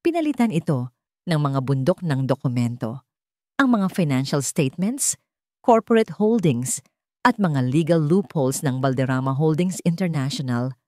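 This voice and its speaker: A Filipino woman narrates a dramatic story in a fairly low register. Her pitch moves widely from phrase to phrase, and she spaces her lines with deliberate pauses. The recording is dry, with no room sound. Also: expressive, a little mellow, no echo. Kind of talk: dramatic scripted audiobook-style narration.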